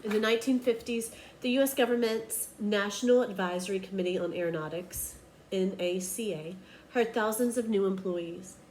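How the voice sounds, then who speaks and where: A woman reads a children's picture book aloud in the way of a teacher. Her delivery is expressive and emphatic, at a medium pitch. There is faint noise behind her voice. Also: normal speed, poor audio.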